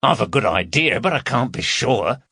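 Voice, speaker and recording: A man voicing a fat, gruff, irritable burglar in an audiobook, bossy and snappish. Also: medium pitch, expressive, normal speed, pushed and bright, no room sound, studio quality, voice pushed up, full character voice.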